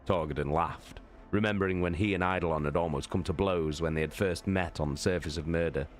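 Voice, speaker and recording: Low-voiced man reading dramatic narration. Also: fairly quick. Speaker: host and dramatic reader of a livestream. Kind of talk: expressive audiobook narration.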